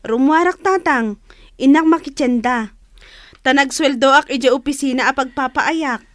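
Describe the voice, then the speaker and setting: An actress with an Ilocano accent playing a dutiful, reassuring young daughter in a radio drama. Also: female voice, high-pitched, moderate pace, no room sound, studio quality, natural voice.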